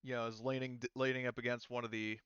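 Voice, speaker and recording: A man running a tabletop game as game master, improvising narration in a halting, casual way. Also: mid-range voice, level tone, a bit bright, clear, resonant tone, dry recording, highs cut off.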